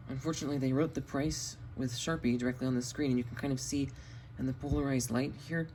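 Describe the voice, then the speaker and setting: A man's voice: medium pitch, fairly even intonation, quick pace, noisy background. A man giving a casual hands-on product demonstration on a home camera recording. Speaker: video host, hobbyist collector. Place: indoors in a home basement.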